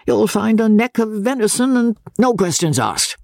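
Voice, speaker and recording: A man's voice: sits high, animated, moderate pace, vocal fry, darkish tone, dry recording, clean studio sound, pitched above the performer's own voice. A man playing a coarse, blustering old merchant. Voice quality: coarse, bullying.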